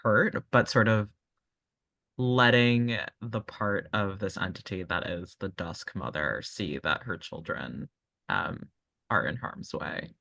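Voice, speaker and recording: A man playing a tabletop roleplaying game, working out a plan in a tentative, halting, casual way. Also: on the low side, gravelly, sharp tone, no echo. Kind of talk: casual improvised planning.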